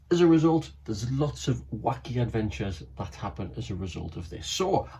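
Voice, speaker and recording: Animated man reading a children's book. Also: mid-range voice. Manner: animated, chatty, addresses young listeners. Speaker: children's author. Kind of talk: reading a children's book aloud with chatty asides.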